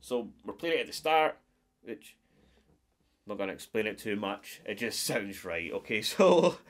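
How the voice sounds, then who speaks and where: A man's voice: lowish voice, expressive, moderate pace. Stuffed-up man teaching guitar. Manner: friendly, explains step by step with counts. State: stuffed-up nose. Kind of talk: casual guitar lesson. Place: small wood-paneled room at home. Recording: acoustic guitar playing throughout.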